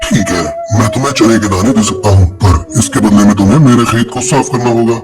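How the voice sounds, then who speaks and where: A man playing a stern, hard-bargaining bird merchant in a moral-story cartoon, with a firm, measured delivery. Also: lowish voice, music in the background, lightly acted. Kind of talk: Hindi cartoon dialogue.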